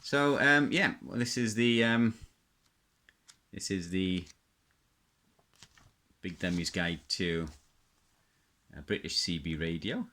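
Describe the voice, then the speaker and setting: Deep-voiced South Welsh man, rambling casually. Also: slow, pauses often, bright, forceful tone. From Wales. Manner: hesitant, rambling, reminiscing. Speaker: radio hobbyist presenter. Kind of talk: casual unscripted show-and-tell.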